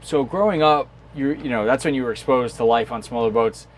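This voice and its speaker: A man hosts a relaxed boat-side podcast, his sentences stopping and restarting with repeated words. His voice is mid-pitched, and faint ambient noise runs underneath. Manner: friendly, halting, repeats words. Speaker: podcast host. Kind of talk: casual interview. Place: aboard a small boat.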